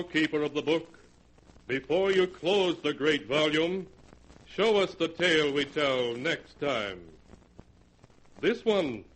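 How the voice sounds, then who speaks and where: A man narrating a scripted 1940s radio horror anthology in a theatrical, ominous manner with deliberate phrasing. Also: a little high, fairly bright tone. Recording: noisy vintage 1940s radio recording.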